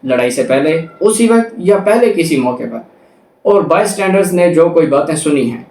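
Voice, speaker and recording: A man's voice: on the high side, expressive, moderate pace, darkish tone. A Pakistani man giving an explanatory law lecture, reading formal text aloud and then methodically rephrasing it, mixing in English terms. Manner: methodical, repeats and rephrases points, switches into English legal terms. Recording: echoey room, distant microphone.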